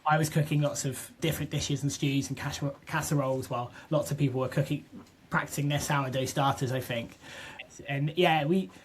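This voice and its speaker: A young man from southwest England talks as a guest on a podcast. His voice sits a little high. He chats freely, often stopping and restarting mid-sentence. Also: steady tone, a little fast, husky. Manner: chatty, restarts often, repeats words. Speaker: podcast guest, business co-founder. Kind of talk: casual interview chat.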